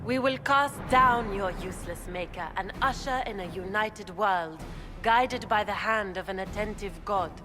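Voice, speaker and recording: A woman's voice: medium pitch, a little slow, close to an everyday voice. Woman as game villainess, scheming noblewoman, haughty, mocking, theatrical delivery, prominent musical score. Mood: grandiose, gloating. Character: scheming, smug aristocratic female villain, Orlesian grand duchess. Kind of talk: video game voice acting. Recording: dramatic music behind the voice.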